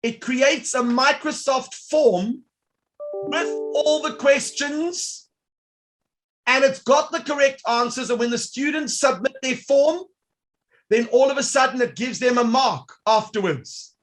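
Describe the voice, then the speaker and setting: A man presenting a live online workshop, demonstrating software in an upbeat, showman-like way that talks directly to the audience. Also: very high voice, a little slow, soft background music, low-quality recording.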